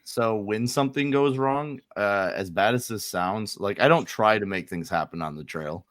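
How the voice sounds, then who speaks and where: A man with an American accent and a somewhat deep pitch tells anecdotes in a relaxed conversational style. He is informal and slangy and swears now and then. The recording is dry, without audible room sound. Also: normal speed, no room sound. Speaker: podcast co-host. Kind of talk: casual anecdotal storytelling.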